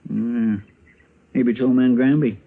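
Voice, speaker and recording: A homespun young cowhand with a country American drawl, deferential and a bit grumbling, in a radio western. The sound is thin and narrow, with faint vintage noise. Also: male voice, medium pitch, steady tone, unhurried, vocal fry. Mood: curious. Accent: rural American drawl. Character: folksy, hungry, grumbling young frontier sidekick, eager and simple. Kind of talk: old-time radio western acting. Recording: tinny, noisy old radio recording.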